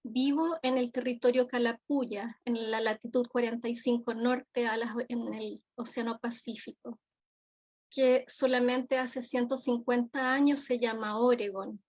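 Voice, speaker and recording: A woman with a Chilean accent delivering a formal keynote lecture as a psychologist, in measured, solemn, deliberate phrasing. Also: fairly high pitch, fairly even intonation, darkish tone. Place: remote online conference. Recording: dull, lo-fi audio.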